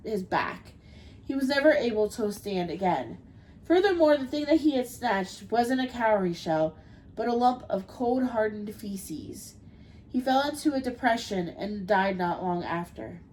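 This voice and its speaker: A young woman with a cold reads a folk tale for a podcast, stumbling and restarting now and then. Her voice sits a bit high, with faint noise underneath. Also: darkish tone, low-quality recording. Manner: reads with small slips and restarts. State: has a cold. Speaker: podcast co-host. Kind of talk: reading a folk tale aloud, then casual chat.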